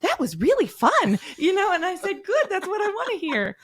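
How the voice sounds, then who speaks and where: A woman hosting a podcast interview, relaxed and conversational, telling stories with quoted dialogue. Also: extremely high pitch, normal speed. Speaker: podcast host. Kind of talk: casual interview chat.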